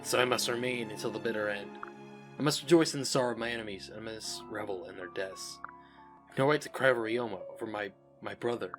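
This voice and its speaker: A man's voice: fairly low pitch, fast, music in the background, close to an everyday voice. A man playing an anguished, intense young traitor in a dramatic reel. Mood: brooding, bitter. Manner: brooding, intense, emotional. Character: anguished young traitor grieving his brother. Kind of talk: dramatic character acting.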